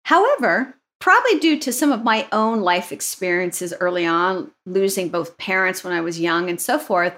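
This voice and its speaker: A woman's voice: on the low side, lively intonation, moderate pace, hardly any pauses, fairly bright tone. A woman co-hosting a leadership podcast in an upbeat, conversational way, often checking in with "right?". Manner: upbeat, asks questions, frequent "right?" tags. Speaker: podcast co-host.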